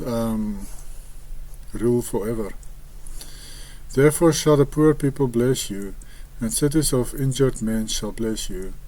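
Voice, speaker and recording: A man with an Afrikaans accent, a native Afrikaans speaker, reading scripture aloud and explaining it in a hesitant, halting way with frequent pauses. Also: mid-range voice, dark tone, rough recording. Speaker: online Bible commentator.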